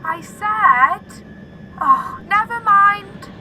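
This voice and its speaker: A woman's voice: very high-pitched, lively intonation, extremely slow, pushed and bright, full character voice, poor audio. A woman playing a matter-of-fact know-it-all in a radio pantomime. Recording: vehicle noise behind.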